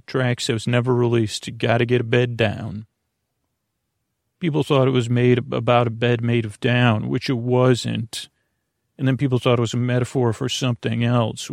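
A man hosting a bedtime podcast in a meandering, halting monologue full of trailing asides, with a creaky, gravelly voice. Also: mid-range voice, no echo, studio quality. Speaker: podcast host.

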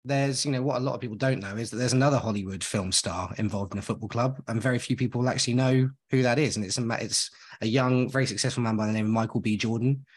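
Podcast host guy, rapid-fire and rambly, kinda raspy. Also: mid-range voice. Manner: enthusiastic, rambling, addresses listeners directly. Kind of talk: casual podcast conversation.

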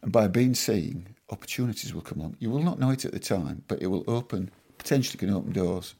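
A man in his sixties from Yorkshire, a farmer being interviewed on a podcast, telling stories in a measured, modest way with dry humour. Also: lowish voice, expressive, vocal fry, dark tone, breathy edge. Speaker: guest farmer. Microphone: podcast microphone.

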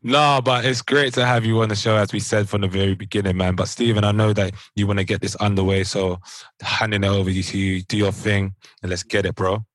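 A man's voice: on the low side, flat delivery, a little fast, no echo, poor audio. A man with a London accent hosting a podcast, upbeat and hyping up his co-hosts and guest. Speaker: podcast host.

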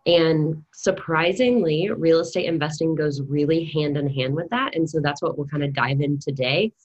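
Podcast guest: American woman, 30s, low register, conversational storyteller. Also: moderate pace, darkish tone, breathy edge, dull audio. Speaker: podcast guest, real estate investor.

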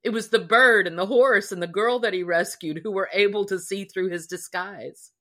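A woman's voice: mid-range voice, moderate pace, sharp tone. A woman co-hosting a podcast, chatting casually and expressively about a story in an earnest way. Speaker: podcast co-host.

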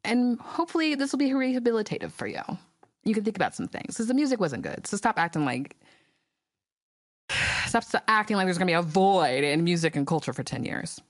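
A woman's voice: medium pitch, fairly quick, no room sound, studio quality. A woman hosting a podcast, chatting casually with slang and sass, reading ads and giving her opinion. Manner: sassy, animated commentary with slang. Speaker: podcast host. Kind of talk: casual podcast talk with ad reads.

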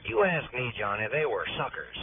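A man's voice: mid-range voice, big pitch swings, moderate pace, gravelly. Casting: anxious American insurance man, emphatic and folksy, vintage radio drama, thin band-limited audio with background chatter. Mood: worried. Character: anxious, insistent male insurance office manager. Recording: tinny band-limited old radio recording.

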